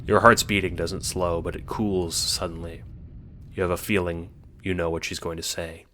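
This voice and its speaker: A man's voice: low voice, flat delivery, moderate pace. A man narrating a scripted second-person audiobook in a dramatic storytelling manner. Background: music.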